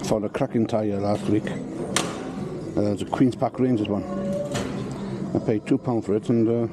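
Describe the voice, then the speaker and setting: A British man vlogging a bargain hunt, thinking aloud in a casual, low-key, matter-of-fact way. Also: steady tone, moderate pace. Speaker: vlogger hunting for bargains to resell. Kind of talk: casual muttered commentary to himself while browsing. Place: car park outdoors, then large charity warehouse shop. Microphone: body-worn action camera. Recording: noisy action-camera audio, shop and street ambience.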